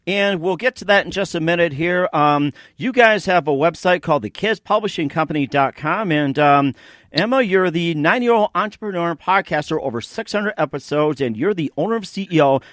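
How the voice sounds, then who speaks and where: A male show host with a fairly high voice that swings widely in pitch. He announces and interviews in an upbeat, showy, excitable style, recorded close and dry. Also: a little fast, no echo. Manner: excitable, showy introductions, repeats himself.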